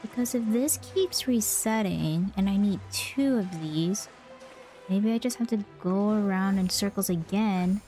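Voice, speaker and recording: A woman streaming a game with casual, relaxed commentary, thinking aloud as she plays. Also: mid-range voice, a little slow, faint music behind.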